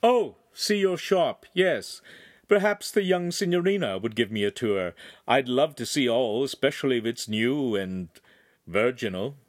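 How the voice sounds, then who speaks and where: A man's voice: mid-range voice, animated, a little slow, no room sound, clean studio sound, lightly acted. A man voicing an arrogant, lecherous young nobleman with a sneering, haughty manner, in a narrated historical story. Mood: haughty, leering. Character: arrogant, lecherous, quick-tempered young Italian nobleman on horseback.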